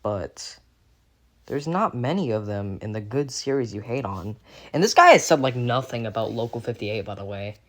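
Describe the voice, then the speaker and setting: A young man in an unscripted critical rant as a video commentator, halting and repeating himself as he gropes for words, exasperated and sweary. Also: mid-range voice, lively intonation, a bit bright. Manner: halting, repetitive, groping for words.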